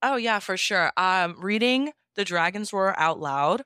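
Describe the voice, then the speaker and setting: A woman's voice: mid-range voice, expressive, a little slow, bright, forceful tone, clean tone, dry recording, studio quality. A woman speaking as an interview guest, answering casually and reflectively in an earnest, appreciative way. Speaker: interview guest, amateur audiobook-style fan fiction reader.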